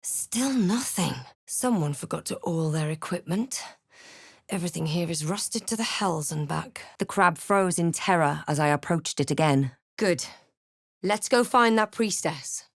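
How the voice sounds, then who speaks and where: A woman playing a watchful, wry fantasy adventurer in a video game, recounting her journey in a measured storybook way with dry asides. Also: fairly low pitch, lively intonation, husky, dry recording, heavily acted. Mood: reflective. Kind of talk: video game character voice lines.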